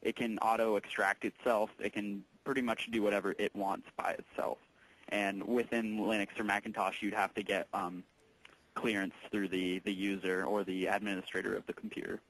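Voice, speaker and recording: Low-voiced man explaining tech, halting and informal. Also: level tone, a bit bright, rough recording. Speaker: electronics store tech support employee taking a call. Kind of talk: casual explanatory phone conversation.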